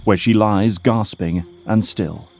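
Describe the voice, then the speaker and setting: A man narrating an audio drama from a script in measured storytelling prose. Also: lowish voice, noisy background.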